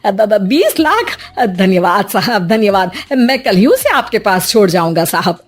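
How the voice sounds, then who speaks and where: A woman's voice: medium pitch, sing-song, a little slow, faint music behind. A woman voicing a poor, anxious father in a cartoon story, speaking in a deferential, pleading way.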